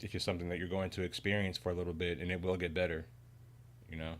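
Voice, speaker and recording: Young male streamer, low voice, relaxed rambling chat full of fillers. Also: fast. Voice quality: deep voice. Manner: laid-back, rambling, lots of "man" and "you know". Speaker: game streamer. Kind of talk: casual livestream chat.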